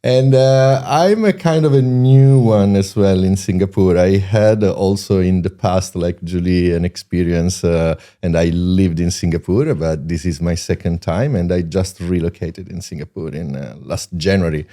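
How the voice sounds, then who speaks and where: A man from Italy with an Italian accent, a guest in a casual interview who speaks haltingly with many pauses for words. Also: low-pitched, warm tone.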